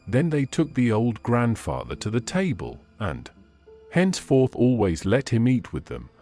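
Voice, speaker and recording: A man reading fairy tales as a scripted audiobook narration, in measured, expressive storybook phrasing. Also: lowish voice.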